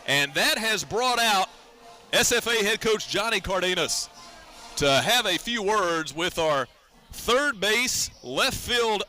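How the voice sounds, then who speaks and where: Very bright-voiced American baseball announcer, male. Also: sits high. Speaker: baseball radio play-by-play announcer. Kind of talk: live sports radio commentary.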